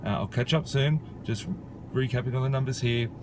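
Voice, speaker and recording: A man's voice: medium pitch, a little fast. A man in his thirties from Australia, a property investor talking casually to camera outdoors, with many "uh" and "you know" fillers. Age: 30s. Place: outdoors beside a rural property. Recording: outdoor noise with traffic.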